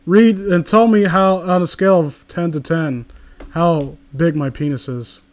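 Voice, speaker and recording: A man's voice: a little high, fairly even intonation, a little slow. A man co-hosting with casual, crude banter, talking in a mock-boastful way. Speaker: podcast co-host.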